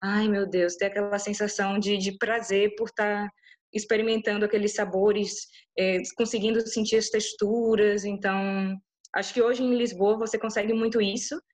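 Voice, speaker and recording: A woman's voice: mid-range voice, level tone, moderate pace, a little mellow. A woman from Bahia with a Brazilian Portuguese accent, answering interview questions in an enthusiastic, chatty way with many restarts. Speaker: interview guest.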